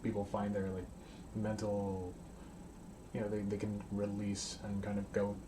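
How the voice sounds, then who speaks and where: A man's voice: fairly low pitch, monotone, a little slow, noisy background. A man hosting a podcast, asking casual, rambling interview questions. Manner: brief affirmations, rambling questions. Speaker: podcast host.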